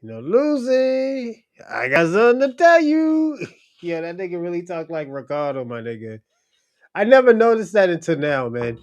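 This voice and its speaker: A man hosting a livestream, reacting in loud, laughing, slang-heavy casual commentary. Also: high voice, sing-song, moderate pace, dull audio. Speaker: livestream host.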